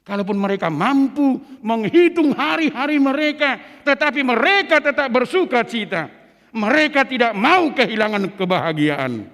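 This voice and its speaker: A man's voice: very high-pitched, pushed and bright. A man preaching in church, moving between two languages and rising to emphatic peaks. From Indonesia. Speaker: pastor. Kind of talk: sermon. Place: large church. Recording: long church hall reverb.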